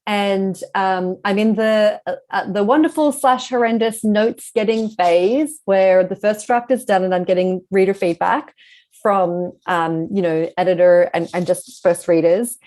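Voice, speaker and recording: A woman's voice: mid-range voice, a little slow, darkish tone. A woman speaking as a guest author in a casual interview, explaining things in a reflective way.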